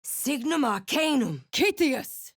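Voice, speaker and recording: A woman's voice: high-pitched, sing-song, slow pace, dry recording, clean studio sound, heavily acted. A woman playing a commanding spellcaster in a fantasy video game, intoning incantations with theatrical emphasis. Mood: forceful.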